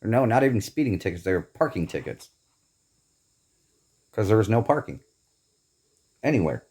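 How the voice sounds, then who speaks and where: Brief: low-pitched American man from the Midwest, angry and swearing, vlog rant. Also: sing-song, normal speed, a little mellow, slightly rough. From Northeast Indiana, USA. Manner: bitter, profane, emphatic complaining. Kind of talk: angry personal rant to camera.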